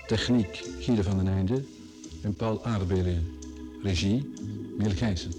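A man's voice: deep voice, unhurried. A man reading credits for a radio play as a formal, scripted announcement. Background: music.

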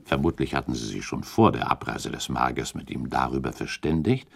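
Deep, creaky-voiced relentless accuser. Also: male voice, brisk, exaggerated voice. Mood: methodical, explanatory. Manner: formal, precise, accusatory, argumentative. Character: shrewd male accuser laying out a murder theory, methodical, relentless. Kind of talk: German radio play acting, period dialogue.

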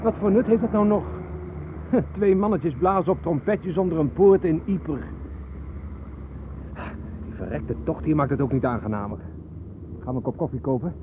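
Actor as a lively, grumbling Dutch photographer. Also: male voice, a little high, moderate pace, long pauses, natural voice. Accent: Netherlands Dutch. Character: grumbling, flippant Dutch photographer, unimpressed visitor. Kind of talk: naturalistic radio drama dialogue. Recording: noisy, phone-like old radio recording.

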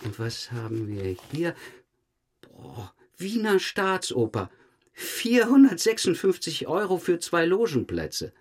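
An actor playing a dogged police detective questioning a suspect in a German crime audio drama, matter-of-fact and dryly pointed. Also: male voice, fairly high pitch, huge pitch swings, takes it slowly, heavily acted. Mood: sardonic, pressing. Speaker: police investigator.

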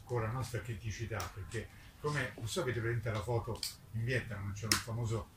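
A man's voice: medium pitch, fairly even intonation, brisk. An older man, an independent publisher, speaks off the cuff at a book presentation in an emphatic, polemical manner, repeating words for weight. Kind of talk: impromptu speech at a literary book presentation. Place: live book presentation at a festival event. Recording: distant mic, echoey, noisy ambience.

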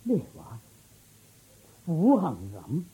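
Man acting a sighing, anxious old-time king, husky. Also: a little high, huge pitch swings, very slow, warm tone, heavily acted, poor audio. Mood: alarmed, demanding. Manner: sighing, demanding, emotional. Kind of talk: radio drama acting.

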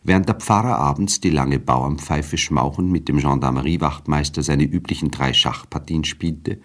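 An actor playing a refined, observant first-person narrator in a radio play, speaking in a measured, articulate, literary way. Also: male voice, a bass voice.

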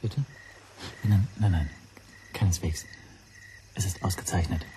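An actor playing an observant, nervous hotel guest who narrates his own story in a radio drama, measured and precise. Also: low voice, steady tone, background noise. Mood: uneasy. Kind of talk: scripted first-person radio drama narration.

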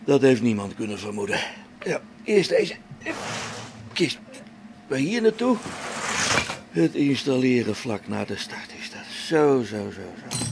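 A man's voice: mid-range voice, big pitch swings, unhurried, creaky, long pauses, noisy background. An actor playing a grumbling old-school test pilot and father talking to himself in a spaceship radio drama. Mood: muttering, amused. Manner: muttering to himself, grumbling, chuckling.

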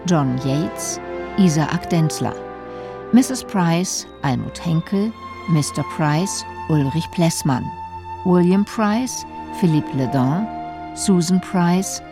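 A woman's voice: deep voice, vocal fry. A woman formally reading out credits as the announcer of a radio play.

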